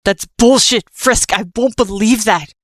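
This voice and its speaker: A woman voicing a bitter, furious cursed male skeleton who shouts his lines. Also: lowish voice, expressive, takes it slowly, no room sound, clean studio sound, exaggerated voice.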